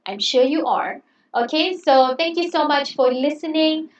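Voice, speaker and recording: Woman teacher, non-native English, fairly high voice, encouraging and chatty, recorded in a roomy space with muffled sound. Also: lively intonation, a little slow. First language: not English. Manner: encouraging, chatty, repeats "okay". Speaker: English lecturer. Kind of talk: online class introduction. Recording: roomy, distant, dull sound.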